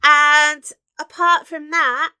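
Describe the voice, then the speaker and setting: Woman co-host, high bright voice, casual earnest chat. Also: fairly even intonation, slow. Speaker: podcast co-host.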